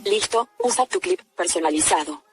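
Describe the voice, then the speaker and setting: A woman's voice: lowish voice, animated, normal speed. Synthetic female screen reader voice. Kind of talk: synthetic reading of interface labels.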